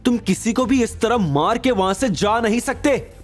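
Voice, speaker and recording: A man's voice: extremely high pitch, animated, moderate pace, voice pushed up. A man voicing an angry, scornful young woman, scolding sharply and indignantly in an audio drama. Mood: furious.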